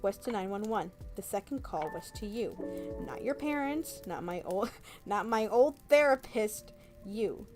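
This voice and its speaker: An earnest, tender-hearted young man as a woman reads him pours out his gratitude and love in a romance novel. The delivery is heartfelt, and music plays clearly behind the words. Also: mid-range voice, animated, a little slow, close to an everyday voice. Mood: tender, sincere. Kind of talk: romance novel read aloud.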